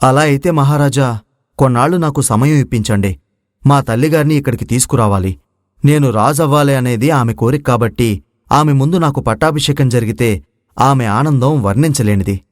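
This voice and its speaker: Man acting a young heroic prince, clever, confident, declamatory, medium pitch, dry storybook sound. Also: moderate pace, dry recording, lightly acted. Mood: respectful. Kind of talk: Telugu moral story voice acting.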